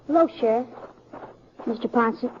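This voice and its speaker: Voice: mid-range voice, normal speed, a little hiss or hum, natural voice. A young performer playing an earnest, polite country boy in a 1950s radio western, speaking hesitantly. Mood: plain, polite. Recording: muffled old radio recording.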